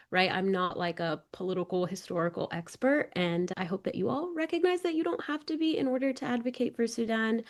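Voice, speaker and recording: Woman panelist, casual and friendly, speaking over a video call. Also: on the low side. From United States. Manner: friendly, informal, uses "like" and "um". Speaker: panelist, nonprofit organizer. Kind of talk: casual virtual panel talk.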